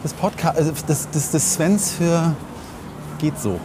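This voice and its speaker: A man's voice: on the high side, expressive, a little slow. A man talking casually as a podcast co-host while walking through a city, opinionated and wordy, often rephrasing himself. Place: outdoors on city streets, walking. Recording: clear outdoor city and traffic noise. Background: street ambience, vehicles.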